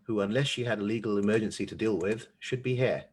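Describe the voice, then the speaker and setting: A man moderating an online panel discussion and reading out questions in a measured, formal, hesitant way. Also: on the low side, darkish tone, slightly rough. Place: online video call.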